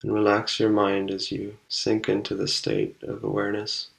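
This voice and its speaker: A man leading a guided meditation, speaking calmly and unhurriedly with long pauses between short instructions. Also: fairly low pitch, gravelly, poor audio.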